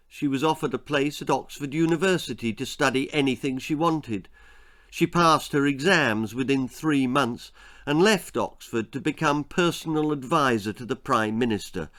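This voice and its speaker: A man tells a children's tale at a slow, measured pace. His delivery is dramatic, in a mid-pitched voice, with soft music underneath. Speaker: author reading his own story.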